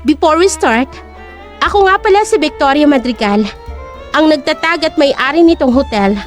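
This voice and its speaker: A woman voicing a calm, self-assured, wealthy woman hotel owner speaking formally in an audio drama. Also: high-pitched, moderate pace, faint music behind. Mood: calm, authoritative. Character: confident wealthy young woman hotel owner giving a poised speech. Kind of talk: audio drama character speech.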